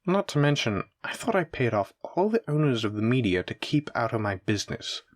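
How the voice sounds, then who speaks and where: A man's voice: mid-range voice, sing-song, a little fast, close to an everyday voice. A man playing a menacing, teasing mafia boss villain in a roleplay audio drama, speaking in a taunting, theatrical way straight to the listener. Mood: threatening, intrigued.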